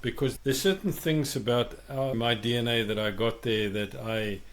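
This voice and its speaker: A man's voice: medium pitch, normal speed. A middle-aged man with a South African accent, co-hosting a podcast and speaking in a thoughtful, emphatic way about migration. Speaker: podcast co-host. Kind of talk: reflective podcast conversation.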